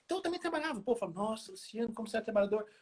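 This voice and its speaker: Guy around 40, rural minas gerais accent, talks quick n lively, bit raspy, super casual. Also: high-pitched. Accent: rural Minas Gerais Brazilian. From small town in Minas Gerais, Brazil. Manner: animated, colloquial, repeats phrases for emphasis. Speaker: health expert guest on a live chat. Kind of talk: casual chat with personal stories. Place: remote social media live video call.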